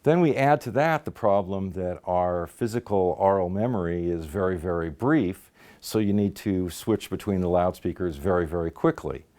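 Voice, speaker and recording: A man's voice: deep voice, normal speed, few pauses, warm tone. A man acting as company spokesman, giving a polished promotional explanation of a product.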